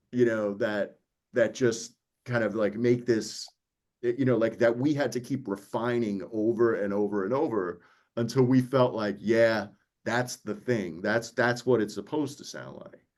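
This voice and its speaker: A man talking casually as a producer on a panel, animated and acting out his own remarks as he tells stories. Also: mid-range voice. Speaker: audiobook producer and interview guest.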